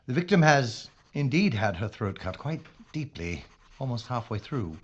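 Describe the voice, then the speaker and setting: Casting: male actor as wry, formal police inspector for radio play; lively intonation, muffled noisy recording. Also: medium pitch, natural voice. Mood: grim, clinical. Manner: measured, formal, clinical. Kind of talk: audio drama acting.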